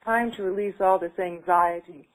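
A woman's voice: on the low side, fairly even intonation, moderate pace. Woman echoing affirmations back. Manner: repeats phrases, hesitant with many fillers when talking freely. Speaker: client in a tapping session. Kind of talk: repeating affirmations, then casual talk.

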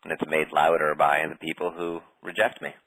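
A man guiding a tapping session in a calm, steady way, naming tapping points and saying short phrases for the other person to repeat. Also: very deep voice, slight background noise, poor audio. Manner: calm, slow, leads phrases for repetition. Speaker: tapping practitioner.

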